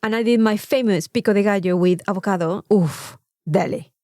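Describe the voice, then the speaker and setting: A Mexican woman with a mid-pitched voice tells casual anecdotes as a podcast co-host. Her Spanish-accented delivery is effusive, with words repeated for emphasis. The sound is dry and close. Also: a little fast, mellow, dry recording.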